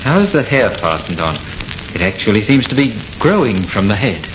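An actor playing an uneasy, superstitious, gloomy friend in an old-time radio horror play, speaking in a grave, wary way. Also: male voice, lowish voice, sing-song, moderate pace. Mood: uneasy wonder. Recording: muffled old radio recording with noise.

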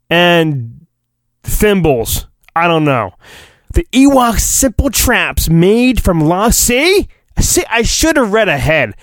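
Theatrical man reading with animated pitch swings. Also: no room sound, clean studio sound. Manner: theatrical, sarcastic, rambling. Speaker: solo podcast host. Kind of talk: mock-dramatic storybook reading with comic asides.

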